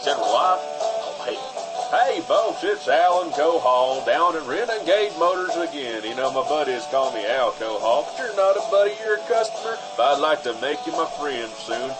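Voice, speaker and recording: A man's voice: a little high, expressive, normal speed, natural voice, rough recording. A man playing a folksy, rambling used-car salesman with a Southern US accent in a comic radio ad. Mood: folksy sales pitch. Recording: loud music bed behind the voice. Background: loud music.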